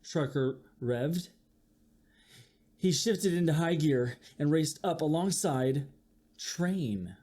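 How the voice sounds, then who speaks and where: Slow-paced children's story reader. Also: mid-range voice. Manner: slow, expressive, with acted-out sound effects. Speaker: teacher reading a picture book aloud. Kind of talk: children's story read-aloud with asides to listeners.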